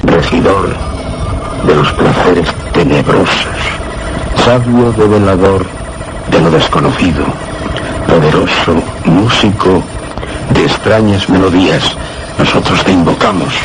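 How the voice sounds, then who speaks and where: An actor playing an erudite, grave scholar in a Spanish radio suspense drama, speaking Castilian Spanish in a measured, didactic way. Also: male voice, deep voice, noisy background. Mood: solemn invocation. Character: erudite male scholar friend, grave and explanatory.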